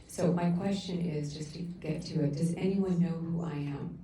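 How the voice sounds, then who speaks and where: Middle-aged woman, deep flat voice, earnest halting council speech, echoey far-off chamber. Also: a little hiss or hum. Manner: loud voice. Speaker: public commenter at a council meeting. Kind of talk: public comment speech. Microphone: room sound system, picked up from afar.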